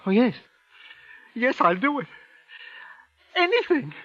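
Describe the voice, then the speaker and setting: An actor playing a frightened, meekly grateful bank teller in a 1940s radio drama, pleading desperately. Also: male voice, very high-pitched, sing-song, slow pace, full character voice. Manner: desperate, servile. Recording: dull old radio recording.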